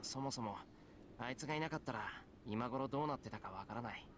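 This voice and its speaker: A man's voice: mid-range voice, racing, a little hiss or hum, lightly acted. A man voicing an earnest teenage schoolboy. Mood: sincere. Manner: plain, sincere, reassuring. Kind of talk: Japanese visual novel voice acting. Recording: game voice with loud music behind.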